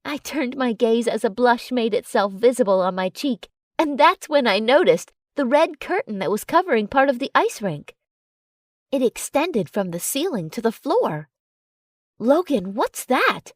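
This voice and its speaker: A woman narrating a first-person romance story in an expressive, dramatic storytelling way. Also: fairly high pitch, moderate pace, no room sound, studio quality. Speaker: audiobook narrator.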